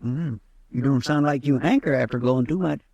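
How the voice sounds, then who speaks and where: A man's voice: mid-range voice, normal speed, gravelly, darkish tone, dry recording, lo-fi sound. A man playing a folksy, eager deputy in a 1950s radio western, talkative with rustic grammar. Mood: chatty. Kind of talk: 1950s radio drama acting.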